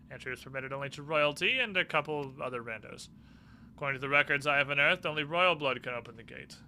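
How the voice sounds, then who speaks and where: A man's voice: mid-range voice, sharp tone, slight background noise. A man voicing a smug, flippant former military villain turned uneasy ally, paraphrasing game dialogue in a casual, mocking way.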